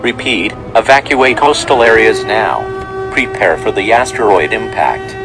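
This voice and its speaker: A man playing a grave emergency broadcast announcer, reading formal, measured warnings in a scripted alert. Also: lowish voice, animated, music in the background. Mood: grave, urgent. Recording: very noisy emergency broadcast audio.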